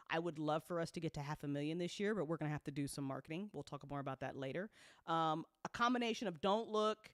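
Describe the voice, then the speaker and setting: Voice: medium pitch, clear, resonant tone, dry recording, studio quality. A podcast creator talking frankly and informally to listeners, swearing freely and repeating points for emphasis. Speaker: audio drama creator and network host. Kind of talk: candid informal business update to listeners.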